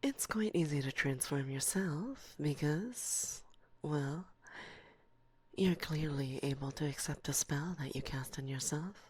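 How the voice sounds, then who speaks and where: Breathy, low-voiced teasing witch mistress, acted by a woman. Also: darkish tone, exaggerated voice. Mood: musing, amused. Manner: teasing, condescending, slow and deliberate, often slipping into whispers. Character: teasing, domineering forest witch mistress, long-lived. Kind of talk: ASMR roleplay. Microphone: close binaural microphone.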